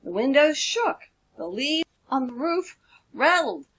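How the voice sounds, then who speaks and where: A woman narrating a children's storybook expressively, with deliberate, dramatic emphasis. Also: high-pitched, very animated, a bit bright. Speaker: author reading own children's book.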